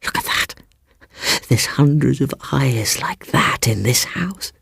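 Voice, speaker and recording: A man voicing a secretive, bitter, frightened schoolboy with a British accent and hushed, breathy delivery in a story reading. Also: mid-range voice, animated, slow pace, dry recording, clean studio sound, voice pushed up, exaggerated voice. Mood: hushed, eerie.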